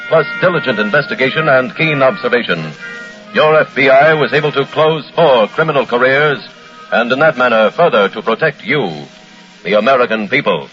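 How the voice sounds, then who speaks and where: A male narrator delivers formal, dramatic crime-show narration with a mid-pitched voice. It is a muffled old radio broadcast with music clearly behind him. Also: lively intonation, normal speed.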